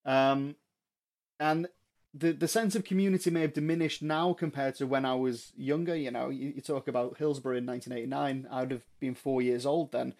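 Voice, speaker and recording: A man's voice: mid-range voice, fairly even intonation, a little fast, a little mellow. A man in his thirties with a mild Liverpool accent, talking earnestly and reflectively as a guest, with plenty of ums and restarts. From England. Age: 30s. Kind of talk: casual podcast chat.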